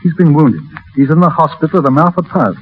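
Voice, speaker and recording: An actor playing a devoted, urbane, gently forbearing fiancé in a 1930s radio drama. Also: male voice, on the high side, animated, fast talker, slightly rough. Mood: resigned, tender. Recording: noisy, narrow, distant 1930s radio recording.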